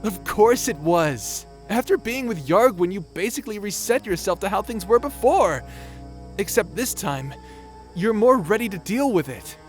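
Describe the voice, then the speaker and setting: A youthful-sounding space pirate as a man voices him with a raised pitch. He talks casually, teasing and reassuring like a supportive friend, in a sci-fi audio drama over soft music. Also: very animated, normal speed.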